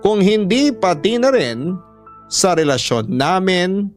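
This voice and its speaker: A man's voice: high-pitched, big pitch swings, takes it slowly. A man with a Filipino accent reading a scripted first-person story as a radio drama narrator. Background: background music.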